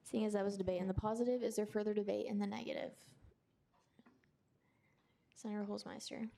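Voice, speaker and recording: A young woman chairing a student meeting, speaking in brisk, scripted procedural phrasing. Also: mid-range voice, fairly even intonation, creaky, mellow, a little hiss or hum. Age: young adult. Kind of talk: presiding over a meeting. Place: student senate meeting room.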